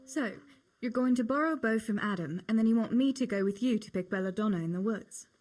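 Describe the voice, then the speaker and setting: A woman's voice: a little high, expressive, quick pace, a little mellow. A young woman playing a wry, reluctant miller's daughter in a medieval video game, with a dry manner. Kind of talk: video game cutscene acting.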